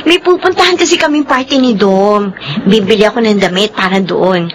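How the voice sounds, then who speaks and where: An actress playing a chatty, caring younger sister with a Filipino accent in a radio drama, expressively. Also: female voice, medium pitch, moderate pace, a little hiss or hum, lightly acted. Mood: playful. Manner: animated, expressive.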